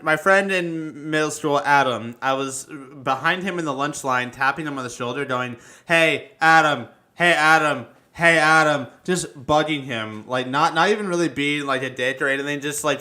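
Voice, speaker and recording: Podcast guy, kinda high sharp bright voice, sweary casual stories, repeats himself for emphasis. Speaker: podcast speaker. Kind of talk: casual chat, animated anecdotes.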